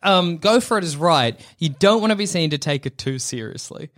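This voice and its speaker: Animated man with a higher-pitched voice. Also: moderate pace. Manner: jokey, animated, breaks into mock singing. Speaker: podcast host. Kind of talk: casual comedic chat.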